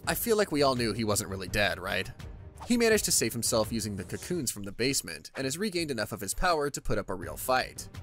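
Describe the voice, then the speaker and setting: A man reads a story recap with an animated, up-and-down delivery. His pitch sits in a middle range, and he sounds casual and wry, like a scripted narrator adding asides. Light music plays underneath.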